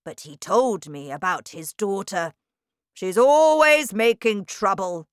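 A woman voicing a huge, furious head teacher who shouts in a loud, dangerous voice. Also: medium pitch, expressive, slow, clear, resonant tone, no room sound, clean studio sound. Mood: angry shouting.

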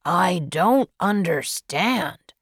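A woman voicing a shy, timid older farmer in a storybook reading, speaking quietly and hesitantly. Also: on the low side, expressive, extremely slow, husky, no room sound, clean studio sound, heavily acted.

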